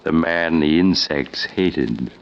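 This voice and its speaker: Creepy genial storyteller, real deep, old 40s radio suspense narration, muffled sound. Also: male voice, takes it slowly, full character voice. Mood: ominous, inviting. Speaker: host and narrator. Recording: muffled old radio recording with loud music.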